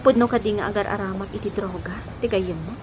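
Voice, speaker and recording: A woman's voice: mid-range voice, fast talker, over music, natural voice. A woman playing a troubled, trusting young woman in an Ilocano radio drama, with an Ilocano accent, on an old recording. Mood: questioning, suspicious. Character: troubled, trusting young woman worried about her boyfriend, Meli. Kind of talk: Philippine radio drama acting.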